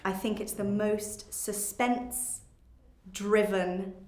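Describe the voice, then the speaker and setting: A woman's voice: medium pitch, steady tone, slow pace, a little mellow, reverberant. A young woman with an English accent talking casually and enthusiastically in an interview as an actress. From England.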